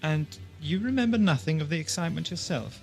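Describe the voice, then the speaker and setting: A man's voice: on the high side, animated, fairly quick, gravelly, soft background music. A man playing an inquisitive, wry and polite time-travelling adventurer in an audio drama, with a questioning manner. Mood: probing.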